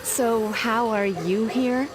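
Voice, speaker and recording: A young American actress playing a determined demon slayer in a video game, dry and matter-of-fact. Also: female voice, on the high side, slow, very noisy. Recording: music behind.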